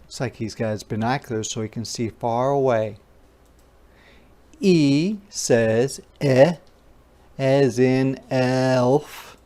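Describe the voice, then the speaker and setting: A teacher reading aloud to young children in an interactive phonics lesson, deliberately repeating sounds and words and adding simple explanations. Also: medium pitch, dark tone, smooth. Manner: child-directed explanatory asides.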